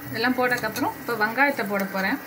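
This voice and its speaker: A woman hosting a home cooking video, calmly walking viewers through a recipe step by step in her kitchen. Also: fairly high pitch. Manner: unhurried step-by-step instructions, addressing viewers directly. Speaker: cooking channel host. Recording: noisy home recording.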